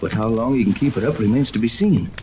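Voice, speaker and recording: Clear noise from an old radio recording surrounds a man acting a resolute captive captain. His mid-pitched voice is purposeful and terse, pressing on urgently in classic 1930s serial style. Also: fast, lightly acted. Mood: tense, urgent. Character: resolute captive male radio-operating captain plotting escape.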